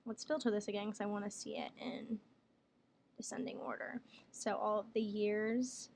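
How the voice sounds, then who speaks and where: A woman working as a software trainer, explaining each step plainly in a casual screen tutorial. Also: mid-range voice, steady tone, moderate pace. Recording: clear background noise, room ambience.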